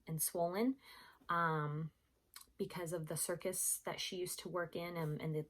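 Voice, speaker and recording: A woman's voice: on the low side, fairly bright tone. A woman doing an informal read-aloud of a children's story.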